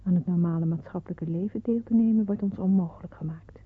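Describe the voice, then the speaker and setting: An actress playing a grave young woman narrating a radio drama in the first person, hushed and measured. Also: lowish voice. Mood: grave, somber. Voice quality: very breathy, hushed. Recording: muffled phone-like old radio recording.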